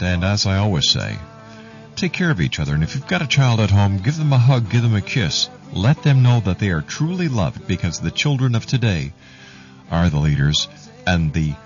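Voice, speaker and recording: A man hosting a radio show, signing off in an expressive, heartfelt way. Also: lowish voice, dull audio. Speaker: radio host. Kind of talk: show sign-off.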